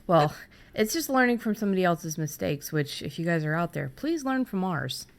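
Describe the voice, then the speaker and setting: A middle-aged woman host talking casually and candidly about her own experience, haltingly, as if thinking aloud. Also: fairly low pitch, big pitch swings, gravelly. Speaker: podcast host and fiction writer. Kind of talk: casual conversational storytelling.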